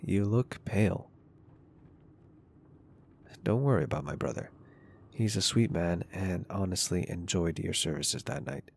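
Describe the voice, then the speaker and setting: A man's voice: low voice, creaky, darkish tone, slightly rough, full character voice. A man playing a caring, flirty and confident business owner in an intimate ASMR roleplay, speaking in a reassuring, polite and earnest way. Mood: amused, reassuring.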